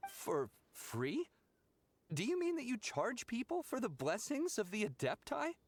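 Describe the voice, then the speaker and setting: A man's voice: very high-pitched, wildly swooping pitch, unhurried, no room sound, full character voice. An actor playing an impatient, suspicious young townsman in an anime video game, brash, whiny and accusatory. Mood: demanding.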